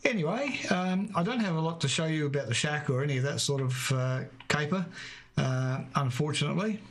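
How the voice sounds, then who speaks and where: A man, a radio amateur speaking casually on a live stream with many pauses and fillers. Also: fairly high pitch, animated. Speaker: radio amateur presenting his town. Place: home radio shack.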